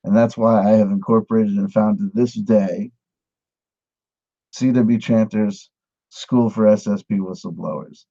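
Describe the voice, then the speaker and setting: Man, low mellow voice, mock-earnest pitchman tone, satirical lecture, dull lo-fi recording. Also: fairly even intonation, unhurried, creaky. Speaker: mock instructor. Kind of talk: satirical monologue.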